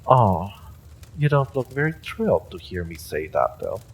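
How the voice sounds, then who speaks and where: A man performs an evil sorcerer warlord from a fantasy audio drama. The voice is very low and unhurried, swinging widely in pitch as it mocks and threatens with silky, smug courtesy. There is faint noise behind it. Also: big pitch swings, rough recording. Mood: smug, taunting. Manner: taunting, theatrical, drawn-out delivery with sinister chuckles. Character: evil male sorcerer warlord, mocking, menacing, smugly courteous. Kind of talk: fantasy audio roleplay acting.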